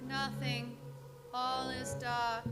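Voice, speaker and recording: A woman's voice: high voice, level tone. An actress playing an entranced young woman who speaks like a haunted medium in a radio drama. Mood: dreamy, entranced. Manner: slow, trance-like, repetitive. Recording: music under live-streamed performance.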